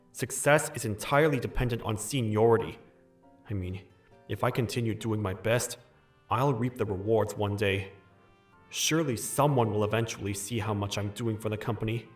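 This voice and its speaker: A man's voice: fairly low pitch, moderate pace, lightly acted. Man acting an earnest young office worker, music behind. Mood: weary, reflective. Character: earnest, put-upon young male office worker, narrating his troubles. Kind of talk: manga dub narration and dialogue.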